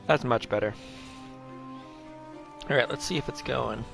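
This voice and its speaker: Gravelly man rambling while streaming a game. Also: medium pitch, unhurried, over music. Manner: rambling, self-mocking, swears offhandedly. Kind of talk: casual livestream chat.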